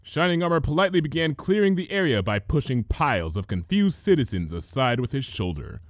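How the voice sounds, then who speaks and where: A man narrating a comic fantasy story as an expressive reader. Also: mid-range voice, fairly quick, no echo, clean studio sound. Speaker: fan fiction reader.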